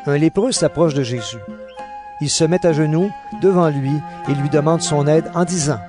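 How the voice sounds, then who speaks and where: A man's voice: on the high side, moderate pace. A man reading scripted narration for a dramatized audio Bible. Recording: narration over clear background music.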